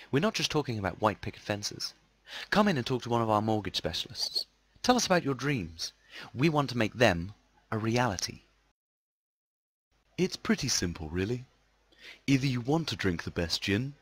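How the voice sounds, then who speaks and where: A man's voice: mid-range voice, fairly bright tone, slightly rough, no room sound. A man, an amateur voice actor, delivering polished radio commercial reads.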